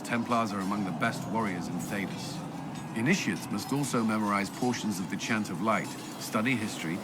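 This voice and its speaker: An actor playing an earnest, reserved military commander and former templar knight in a video game, speaking in a formal, measured way. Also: male voice, lowish voice, very noisy, natural voice. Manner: formal, measured, awkwardly hesitant when flustered. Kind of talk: video game character dialogue. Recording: loud music behind the voice. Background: music.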